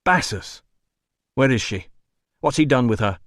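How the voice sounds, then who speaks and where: A man's voice: medium pitch, lively intonation, measured pace, fairly bright tone, no echo, studio quality. A man voicing an insistent army doctor in an audiobook, with a clipped, authoritative manner. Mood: urgent. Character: urgent, commanding male army doctor. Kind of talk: audiobook character voice.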